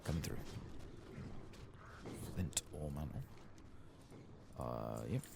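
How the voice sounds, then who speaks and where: Very low, gravelly soft-spoken man. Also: very animated, takes it slowly. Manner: muttering, casual, mildly sweary. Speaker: game streamer, ASMR playthrough host. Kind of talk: soft-spoken and whispered ASMR gaming commentary. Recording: clearly audible background noise.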